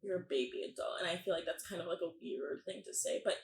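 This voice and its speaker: Gravelly-voiced young woman hosting a podcast. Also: on the low side, quick pace, roomy sound. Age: 20s. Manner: chatty, laughs often, many fillers. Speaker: podcast host. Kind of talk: casual interview chat.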